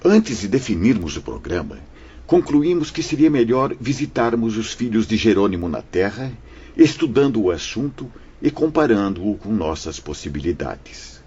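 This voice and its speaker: A man's voice: lowish voice, very animated, normal speed, a little mellow, breathy edge, full character voice. A man playing a kindly, earnest spirit missionary recounting his journey in an audio drama, solemn and emotive. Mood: solemn, calm. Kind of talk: audio drama acting, storytelling. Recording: dull audio with background music.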